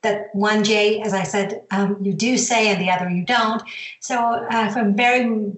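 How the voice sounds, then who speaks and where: A woman's voice: mid-range voice, fairly even intonation, unhurried. A middle-aged woman with an American accent, chatting in a meandering, casual way as an interview guest. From born in Zambia, grew up in the US from age ten. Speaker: podcast guest, company founder. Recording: echoey, roomy sound.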